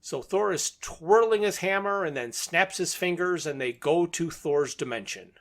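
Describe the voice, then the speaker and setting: Man, slightly high pitch, podcast co-host, methodical story recap, matter-of-fact delivery. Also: expressive, moderate pace, a bit bright. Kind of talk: podcast plot recap and discussion.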